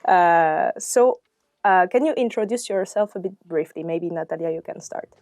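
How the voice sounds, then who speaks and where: A woman's voice: lowish voice, moderate pace, darkish tone, dry recording, studio quality. A woman hosting a podcast interview, friendly and laughing between questions. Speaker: podcast host.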